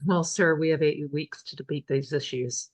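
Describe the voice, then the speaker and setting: A woman as a low-voiced, wry female priest. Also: fairly even intonation, moderate pace. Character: confident, plain-spoken, teasing female priest. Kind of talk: table read of a comedy script.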